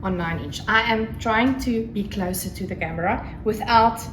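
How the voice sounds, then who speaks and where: A woman's voice: medium pitch, normal speed, fairly bright tone. A South African woman whose home language is Afrikaans, chatting casually and gushingly as the host of a solo craft podcast. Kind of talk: casual solo vlog chat. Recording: roomy echo, faint background noise. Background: crackling fire, faint noise.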